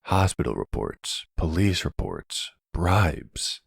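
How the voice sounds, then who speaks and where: A male actor plays an older mafia boss for an intimate roleplay audio drama. The voice is deep and husky with a slow, measured pace. He sounds calm, devoted and affectionate. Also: dry recording, studio quality, exaggerated voice. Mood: grave, steady. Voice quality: low, gravelly.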